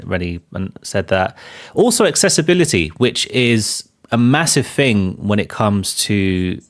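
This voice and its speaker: A man with a fairly low voice hosts a live film chat, thinking out loud as he goes. He keeps circling back with fillers and restarts, and his delivery stays loose and conversational. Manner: rambling, many fillers and restarts. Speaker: show host. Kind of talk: live casual commentary.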